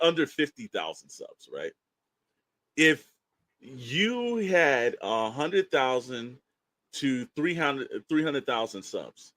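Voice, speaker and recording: A man's voice: mid-range voice, fairly bright tone, no room sound. Rambling man chatting on a podcast. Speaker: podcast co-host. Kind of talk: casual podcast chat.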